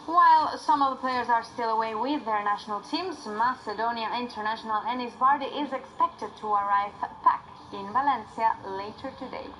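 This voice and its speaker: A woman's voice: fairly high pitch, moderate pace, hardly any pauses, bright, forceful tone, slight background noise, low-quality recording. A woman presenting scripted sports news as an anchor.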